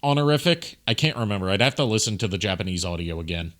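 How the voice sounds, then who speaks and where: Low, bright-voiced man doing casual stream commentary. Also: lively intonation, quick pace. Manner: rambling, explanatory. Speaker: streamer, amateur voice actor. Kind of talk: casual commentary over a video.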